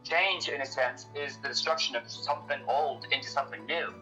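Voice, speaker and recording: A woman's voice: extremely low pitch, brisk, pushed and bright, poor audio. A woman in an in-character panel discussion, talking in a dry, pointed, challenging manner. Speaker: podcast panelist in character as a researcher. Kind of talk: semi-improvised in-character discussion of a horror audio drama. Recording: music playing behind the voice. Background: music.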